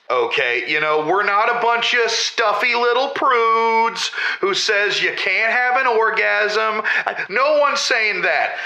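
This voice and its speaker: In a roomy, echoing space, a chipper male convention organizer with a very bright, forceful, slightly high voice. He makes an earnest salesman's pitch on a comedy radio show, stumbling over the odd filler. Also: full character voice. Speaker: caller.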